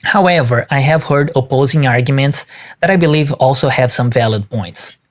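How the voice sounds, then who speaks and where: Man reading a message. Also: mid-range voice. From Brazil. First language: Portuguese. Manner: measured, careful and explanatory. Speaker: caller. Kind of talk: reading a prepared listener message.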